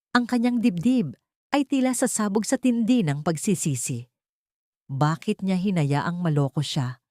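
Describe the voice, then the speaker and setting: Expressive low-voiced woman telling a dramatic story. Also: dry recording, clean studio sound. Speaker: narrator. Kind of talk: dramatic scripted story narration.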